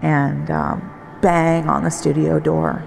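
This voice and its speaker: A woman's voice: sits low, little pitch movement, takes it slowly, creaky, darkish tone, slight background noise. A woman giving a candid, conversational personal recollection in an unguarded way. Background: music.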